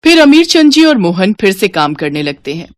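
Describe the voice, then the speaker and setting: A woman narrating a cartoon story in an expressive, lilting storytelling manner. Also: medium pitch, normal speed, no echo, studio quality.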